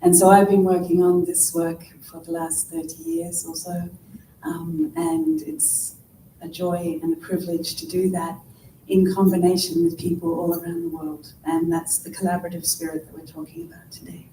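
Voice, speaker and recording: A woman speaks articulately and reflectively in a lowish, mellow voice. She is picked up from a distance in an echoey conference hall, with a faint rumble beneath. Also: moderate pace. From Australia. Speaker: panel speaker. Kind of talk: conference panel talk.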